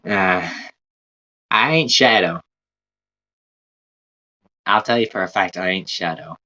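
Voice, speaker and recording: A man with a thick American Southern drawl chats loosely with his livestream viewers and swears now and then. His voice sits on the low side, and the recording sounds dull and lo-fi. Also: slow, bright, forceful tone, slight background noise. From Southern United States. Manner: rambling, laid-back, casual swearing. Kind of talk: casual livestream chat with viewers.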